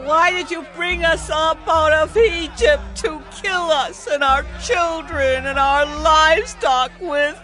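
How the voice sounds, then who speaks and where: Woman as a shrill, aggrieved Israelite. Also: takes it slowly, hardly any pauses, bright, forceful tone, faint music behind, full character voice. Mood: anguished accusation. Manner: pleading, accusing. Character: aggrieved, thirsty Israelite complainer among a desert people. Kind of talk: dramatized scripture reading.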